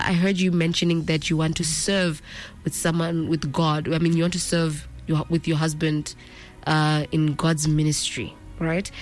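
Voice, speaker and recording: Young woman interviewer. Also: low voice. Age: 20s. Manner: frequent murmured agreement. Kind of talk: casual interview.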